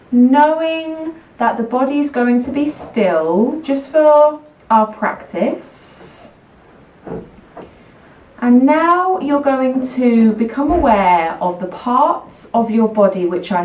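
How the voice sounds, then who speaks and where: Slow-paced woman guiding children's relaxation, roomy echo. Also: on the high side. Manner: soothing, unhurried, repetitive instructions with gentle pauses. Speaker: yoga nidra teacher. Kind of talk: guided relaxation meditation for children. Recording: roomy echo, distant microphone.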